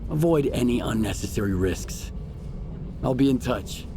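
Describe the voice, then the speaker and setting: An actor playing a terse, steady mercenary in a video game, speaking in an understated way. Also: male voice, medium pitch, moderate pace, background noise, natural voice. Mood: cool, businesslike. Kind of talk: video game cutscene acting. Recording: music behind the voice.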